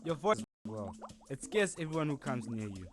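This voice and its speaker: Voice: medium pitch, big pitch swings, moderate pace, natural voice. An actor voicing a witch doctor who patiently gives instructions. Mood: instructive. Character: witch doctor giving advice. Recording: music playing underneath. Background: music.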